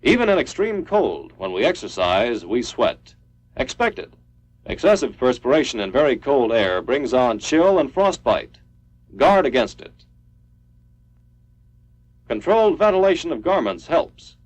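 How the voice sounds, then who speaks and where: A man's voice: mid-range voice, big pitch swings, unhurried, no echo, rough recording. An American man reading scripted military training film narration in a clipped, instructional manner. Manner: instructional, clipped declarative delivery.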